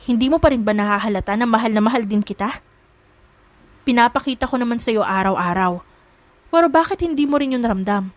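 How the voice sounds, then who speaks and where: A woman's voice: fairly high pitch, lively intonation, a little fast, no echo, lightly acted. A woman voicing a possessive, lovestruck young rich man in a romance audio drama, insistent and pleading. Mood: hurt, tender.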